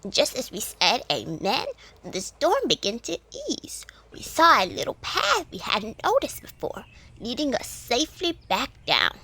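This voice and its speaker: Woman playing kindly old lady, lively high voice. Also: a little slow, creaky, breathy edge, exaggerated voice. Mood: earnest storytelling. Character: kindly elderly village woman, devout and nostalgic neighbor. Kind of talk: children's audio drama acting.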